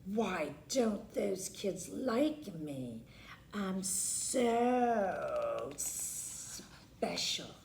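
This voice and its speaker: A woman's voice: medium pitch, big pitch swings, extremely slow, vocal fry, a little mellow, heavily acted. Here is a bratty, boastful monster girl from a children's storybook, acted out by a woman. She is full of herself, given to wailing, barking and hissing. The delivery swings dramatically up and down in a roomy, echoing space. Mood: scheming hiss. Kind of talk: animated children's storybook character voice.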